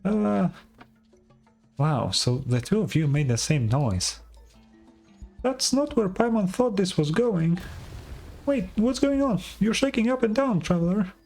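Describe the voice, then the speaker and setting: A woman voicing a tiny, childlike fairy companion in a video game, squeaky and excitable. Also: unhurried, pauses often, faint music behind. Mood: startled. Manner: excitable, refers to self by name. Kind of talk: cartoonish video game acting.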